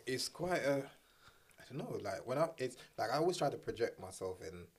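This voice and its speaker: A man's voice: mid-range voice, very animated, a little fast, slightly rough. A man hosting a casual group conversation in informal, slangy speech. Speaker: podcast host.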